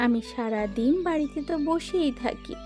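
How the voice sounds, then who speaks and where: Woman playing a high-voiced, dutiful daughter-in-law. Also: animated, moderate pace, mellow, soft background music. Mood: pleading, earnest. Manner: submissive, earnest. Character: dutiful, earnest elder daughter-in-law, pleading and hardworking. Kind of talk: cartoon moral-tale voice acting.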